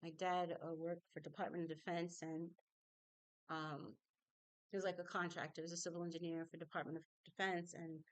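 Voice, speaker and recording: Reflective middle-aged woman, smooth low voice. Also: no echo, poor audio. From New York. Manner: reflective, halting with fillers, laughs through painful memories. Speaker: singer. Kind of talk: personal storytelling.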